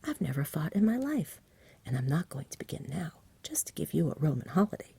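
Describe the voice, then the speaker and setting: A woman's voice: deep voice, very animated, fairly quick, vocal fry, mellow, slightly rough, no echo, exaggerated voice. A woman voicing a lazy, fussy, polite dragon in a whispered ASMR storybook reading. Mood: solemn, firm. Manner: languid, polite, mildly plaintive. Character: lazy, genteel, fussy dragon who refuses to fight.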